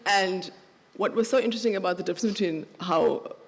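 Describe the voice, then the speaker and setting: A woman with a flat Johannesburg accent, speaking as a panelist in a live festival discussion with animated storytelling. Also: mid-range voice, a little fast, breathy edge. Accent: Johannesburg South African. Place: festival venue with long reverb. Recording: live hall recording with reverb.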